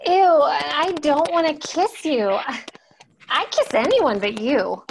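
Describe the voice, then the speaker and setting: A woman's voice: high voice, expressive, takes it slowly, vocal fry, noisy background, full character voice. A woman playing a sweet but blunt kindergarten girl in a comedy table read.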